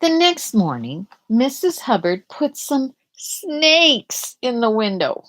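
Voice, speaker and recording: A middle-aged woman hosting a live picture-book read-aloud, chatting casually and haltingly with young listeners and putting gentle questions to them. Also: on the high side, very animated.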